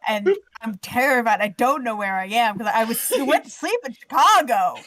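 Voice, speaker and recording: A woman's voice: a little high, lively intonation, normal speed, noisy background. A woman chatting casually and telling anecdotes in a conversational way. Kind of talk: casual storytelling chat.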